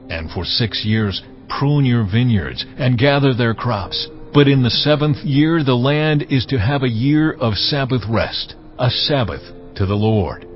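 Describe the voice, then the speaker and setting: A man's voice: medium pitch, natural voice. A man voicing a solemn, commanding God, music behind. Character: God, solemn male deity giving laws to Israel. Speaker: voice actor in dramatized audio Bible. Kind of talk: dramatized scripture reading. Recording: dull lo-fi audio, music underneath.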